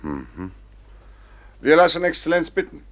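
An actor playing an earnest, compassionate mayor in a radio drama, formal and rhetorical. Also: male voice, a little high, wildly swooping pitch, measured pace, fairly bright tone, heavily acted. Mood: courteous, official. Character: compassionate, indignant town mayor, earnest middle-aged man. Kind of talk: German radio drama acting.

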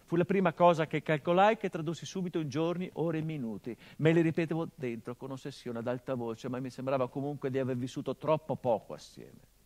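An actor playing a grieving son. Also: male voice, fairly high pitch, darkish tone, natural voice. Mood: grave. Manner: measured, grave, introspective delivery. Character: grieving adult son of a murdered father. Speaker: stage actor in a monologue. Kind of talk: theatrical monologue.

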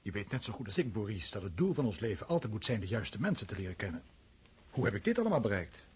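A man's voice: on the low side, big pitch swings, fast talker, husky, full character voice. A man playing a pompous, fawning social-climbing party host in a radio play.

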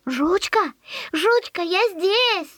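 A woman's voice: extremely high pitch, expressive, slow, fairly bright tone, no echo, clean studio sound, exaggerated voice. A woman playing an anxious little boy in an audio play, calling pleadingly to his beloved dog. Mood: urgent calling.

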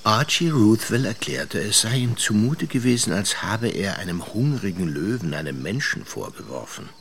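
A man's voice: fairly low pitch, big pitch swings, normal speed, fairly bright tone, a little hiss or hum. A male actor playing a reflective, wry seaman who tells his memories in a radio drama. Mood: musing, wry. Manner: musing, storytelling, sardonic. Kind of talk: radio drama first-person narration. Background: music.

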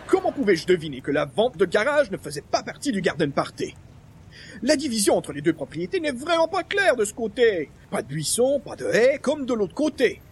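Guy playing pompous indignant columnist, old radio comedy style, high voice swooping around, very formal and theatrical, bit of outdoor noise. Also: sing-song, moderate pace. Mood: exasperated.

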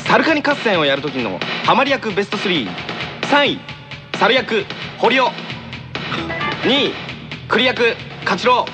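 A man co-hosting a radio show, presenting segments and listener mail in a lively style. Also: high-pitched, measured pace, long pauses, music in the background.

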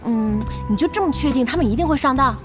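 High-voiced, quick-witted lady boss. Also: lively intonation. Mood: curious, catching on. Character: curious, sharp-witted woman boss among gamers. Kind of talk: Mandarin audio drama acting. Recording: music bed under the dialogue.

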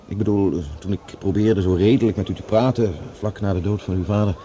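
Man playing a professor, radio drama, fast talker, soft dark timbre, earnest, a bit halting. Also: lowish voice, fairly even intonation, dull audio, a little hiss or hum, natural voice. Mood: apologetic. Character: earnest, awkwardly apologetic male professor. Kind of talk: Dutch radio play acting.

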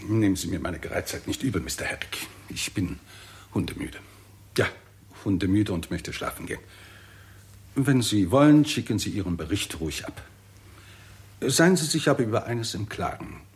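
An actor playing a weary, irritable and pessimistic scientist in a 1960s German radio drama, husky and articulate, with a sardonic, argumentative manner. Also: male voice, on the low side, lively intonation, moderate pace, long pauses. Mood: weary, curt.

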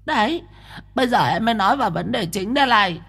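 A woman voicing a chatty, easily frightened young female spirit medium in a breathy voice. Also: a little high, lively intonation, fast, fairly bright tone, full character voice. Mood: confiding. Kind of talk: audiobook character voice.

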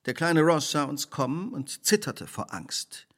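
An actor playing a shrewd, relentless detective in a radio drama, speaking precisely, formally and theatrically. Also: male voice, on the high side, sing-song, unhurried. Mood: insistent, triumphant. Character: shrewd, relentless male detective laying out a crime.

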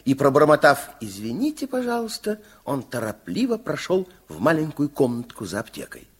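A man's voice: fairly high pitch, wildly swooping pitch, moderate pace, breathy edge, exaggerated voice. A man playing a playful fairy-tale narrator in an old radio play, reading scripted storybook narration. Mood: intriguing. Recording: old radio drama with music.

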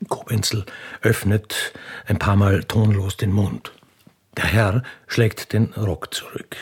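A man's voice: fairly low pitch, steady tone, takes it slowly, husky. A man narrating a radio play in a scripted, dramatic style.

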